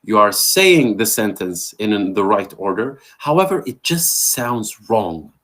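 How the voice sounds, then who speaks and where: A man's voice: lowish voice, big pitch swings, a little slow, a bit bright. A man giving an interactive online language lecture as the trainer, quizzing a participant and affirming his answers over a video call. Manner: questioning, affirming with "there you go".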